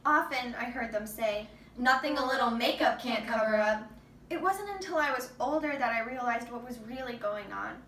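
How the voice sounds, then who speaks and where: Woman acting anguished captive girl, high and echoing. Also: lively intonation, moderate pace, fairly bright tone. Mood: anguished, sorrowful. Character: anguished, bitter young woman held captive by traffickers. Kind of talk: rehearsed readers theater monologue. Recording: roomy distant mic with background noise.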